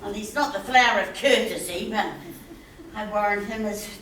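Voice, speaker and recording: A woman's voice: medium pitch, fairly bright tone, natural voice. A woman playing a teasing, complaining old nurse on stage in Shakespearean style, groaning dramatically and drawing out her words. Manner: dramatic groaning, drawn-out delivery. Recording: echoey hall, distant mic, faint noise.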